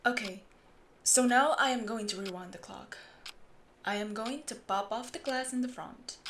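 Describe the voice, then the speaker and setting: Steampunk clock repairer , played by a woman: female, patient, secretive, slightly high bright voice, faint noise behind, audio roleplay. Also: normal speed. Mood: patient, focused. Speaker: amateur voice actor. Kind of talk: audio roleplay, speaking to the listener.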